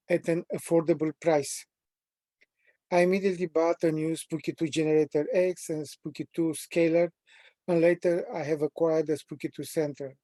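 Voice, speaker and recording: A man in his sixties from Romania, with a Romanian accent, presenting a training webinar at a measured, deliberate pace with frequent pauses. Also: fairly high pitch, mellow, dry recording. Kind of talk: prepared self-introduction in a training webinar.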